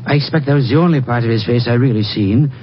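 An actor playing a talkative, eager country farmer with a folksy, excitable manner in an old-time radio drama. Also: male voice, medium pitch, quick pace, close to an everyday voice. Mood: rambling, earnest. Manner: folksy, rambling, excitable storyteller. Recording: noisy, dull 1950s radio recording.

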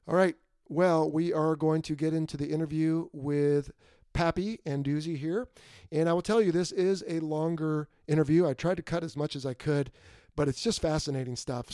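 Man, 40s, podcast host, emphatic, mellow tone, dry close sound. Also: a little high, dry recording. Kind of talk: podcast interview and listener Q&A.

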